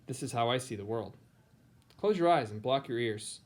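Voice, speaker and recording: American guy, teacher doing an informal picture book read-aloud, medium pitch. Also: animated, moderate pace.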